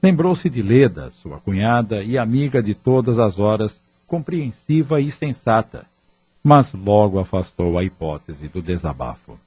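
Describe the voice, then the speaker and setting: A man narrates an audio drama from a script. His voice is low and somewhat breathy, and the recording sounds a little dull. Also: expressive, measured pace, dry recording.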